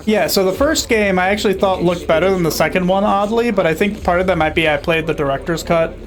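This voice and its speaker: A man's voice: high-pitched, quick pace. A young man casually commenting on a video game as he plays, chatty and sarcastic. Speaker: streamer playing a video game.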